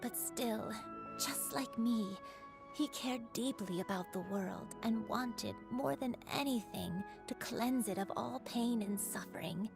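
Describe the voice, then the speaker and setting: An actress playing a gentle, remorseful old crane spirit in human form, telling a story in a measured, confessional way in a video game. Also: female voice, a little high, gravelly, over music. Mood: tender, regretful. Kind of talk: scripted video game voice acting, storytelling monologue.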